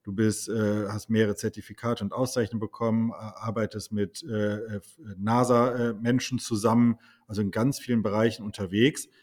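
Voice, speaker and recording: A man's voice: on the low side, flat delivery, normal speed, few pauses, darkish tone. Admiring man hosting a podcast interview. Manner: admiring, rambling questions with fillers. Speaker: podcast host.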